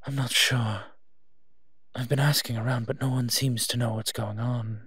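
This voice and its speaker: A man playing a gentle, teasing monster who protects children, speaking softly in an intimate audio roleplay addressed to the listener. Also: mid-range voice, steady tone, breathy edge, dry recording, studio quality. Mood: serious, concerned. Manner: soft-spoken, unhurried, playfully teasing.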